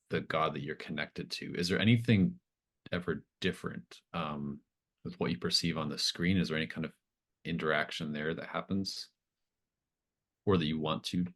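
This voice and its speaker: A man's voice: very deep voice, fairly bright tone. A man acting as game master, improvising narration for a tabletop game in a hesitant, thoughtful, informal way.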